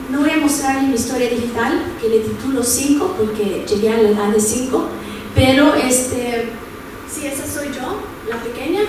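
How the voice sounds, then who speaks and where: A woman's voice: fairly high pitch, recorded from a distance. Woman lecturing in an echoey room. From El Salvador, raised in San Francisco. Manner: conversational, frequent fillers and tag questions. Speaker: workshop presenter. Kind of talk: informal academic workshop talk. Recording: echoey room picked up from afar with noise.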